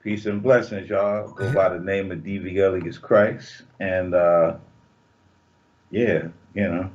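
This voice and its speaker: A man's voice: low voice, flat delivery, slow pace, darkish tone. A man in his forties, a rapper guesting remotely, laid-back and slangy, with playful sayings. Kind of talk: casual interview chat. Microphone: video call. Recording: dull lo-fi video call audio.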